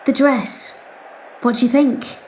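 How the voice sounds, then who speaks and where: A timid, high-voiced wife. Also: female voice, fairly even intonation, slow pace, darkish tone, a little hiss or hum, low-quality recording. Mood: hopeful, tentative. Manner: hesitant, apologetic, soothing. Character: timid, placating wife and gentle mother. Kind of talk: naturalistic audio drama acting.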